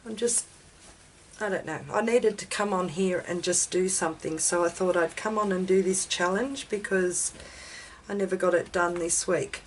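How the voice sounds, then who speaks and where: An Australian woman with a slightly raspy voice from a chest infection, hosting a casual craft video in a rambling, self-deprecating way as she talks to herself. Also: fairly low pitch, steady tone, moderate pace, slight background noise, low-quality recording. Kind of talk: casual chatty craft demonstration.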